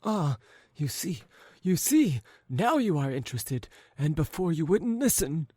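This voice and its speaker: A man voicing a forgetful, rambling old gentleman who coughs and talks in a wheedling, quavering chant. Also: very animated, dry recording, studio quality. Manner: high, quavering, drawn-out chant.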